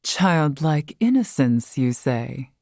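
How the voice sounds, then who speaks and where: An actress playing a wise, long-lived female scholar in a video game, composed and curious, speaking in formal, measured, eloquent phrases. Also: deep voice, very animated, dry recording, clean studio sound, full character voice. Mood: quiet wonder. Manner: formal, measured, eloquent, softly breathy at times. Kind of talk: scripted video game character dialogue.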